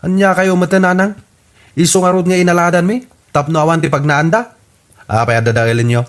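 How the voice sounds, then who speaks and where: A man's voice: high-pitched, brisk, lightly acted. A man with an Ilocano accent playing a worried adult son in a radio comedy drama. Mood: reproachful.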